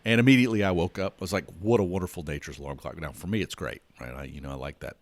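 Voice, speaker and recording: A man's voice: low-pitched, rapid-fire, no echo. A man hosting a podcast, talking casually as he gets over a cold. He rambles and stumbles over his words, often repeating himself. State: recovering from a cold. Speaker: podcast host. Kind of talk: casual solo podcast talk.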